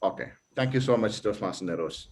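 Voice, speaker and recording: A man's voice: lowish voice, animated, a little fast. A man chairing an online speaking club meeting, hesitant with fillers, then giving an earnest motivational talk full of rhetorical questions.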